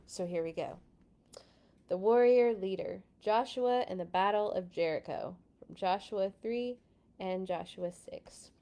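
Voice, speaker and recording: A woman's voice: medium pitch, a little mellow. A woman hosting a children's read-along, reading expressively with dramatic emphasis on key words and a casual, chatty touch. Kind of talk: expressive storybook read-aloud with casual asides.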